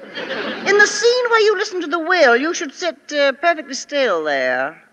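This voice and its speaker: A blunt, high-voiced friend. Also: female voice, big pitch swings, unhurried, fairly bright tone, some chatter behind, a little hiss or hum, heavily acted. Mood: blunt, insistent. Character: frank female friend, patient, gently blunt critic. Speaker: stage actress playing a part. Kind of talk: 1950s radio comedy acting. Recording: dull old radio recording, hall reverb.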